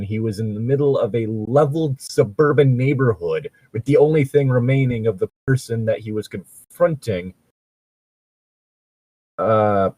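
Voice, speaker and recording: Sarcastic man with very animated delivery. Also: mid-range voice, husky, dry recording, rough recording. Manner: sarcastic, exasperated, sweary. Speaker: host of a fan fiction reading show. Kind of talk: casual comedic reading with mocking commentary.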